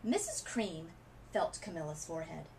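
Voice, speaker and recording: A woman narrates a children's picture book aloud. Her delivery is highly animated, with dramatic emphasis and pauses, over faint background noise. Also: vocal fry. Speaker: literacy coach reading aloud.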